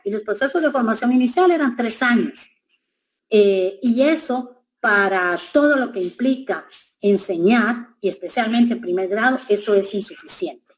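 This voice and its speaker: A middle-aged woman with a Guatemalan Spanish accent gives an educational presentation in a measured, reflective way, with frequent hesitations. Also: on the high side, expressive, rough recording. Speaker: education specialist speaker.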